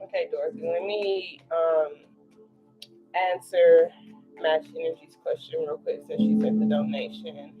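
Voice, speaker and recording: A woman's voice: deep voice, fairly even intonation, unhurried, faint music behind. A woman in her twenties giving a casual live tarot reading, talking informally and reassuringly straight to her viewers. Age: 20s. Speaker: tarot reader hosting a livestream. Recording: dull lo-fi livestream audio.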